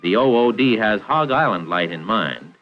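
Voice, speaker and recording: A man's voice: lowish voice, animated, moderate pace, soft background music. An American man reading scripted narration for a 1940s training film in a formal, precise, instructional manner. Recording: dull vintage 1940s film soundtrack.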